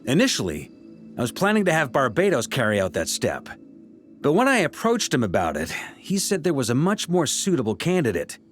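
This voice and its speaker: A seasoned knight commander from a video game, easygoing and wry. His mid-pitched delivery moves with plenty of variation. Music plays underneath. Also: wildly swooping pitch, brisk. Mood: reflective. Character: seasoned, easygoing knight grandmaster home from expedition. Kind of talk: video game character dialogue.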